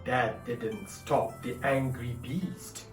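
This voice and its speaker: A man presenting and narrating a story in a deliberate, instructive way. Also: mid-range voice, far from the mic. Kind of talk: storytelling and audience address. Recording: echoey room, off mic, music behind.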